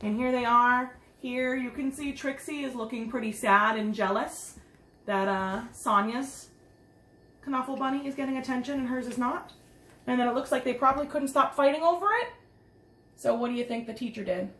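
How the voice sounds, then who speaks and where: Woman, preschool teacher, higher-pitched voice, expressive picture-book read-aloud, chatty asides, echoing room. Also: normal speed. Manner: expressive, simple explaining, asking children questions. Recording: roomy, echoing sound.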